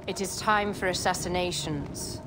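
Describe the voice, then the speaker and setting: A woman's voice: lowish voice, normal speed, lightly acted. An actress playing a regal, assured queen of ancient Egypt in a video game drama, speaking formally. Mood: commanding. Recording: loud music underneath.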